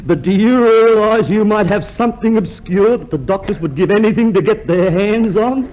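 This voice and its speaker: A man's voice: sits high, normal speed, darkish tone, muffled recording, natural voice. An actor playing a chirpy hypochondriac who boasts earnestly of his illnesses, in old radio comedy style. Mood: self-pitying, proud. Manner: earnestly boastful about being ill. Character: chirpy hypochondriac male customer who relishes his ailments. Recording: noisy old radio show recording.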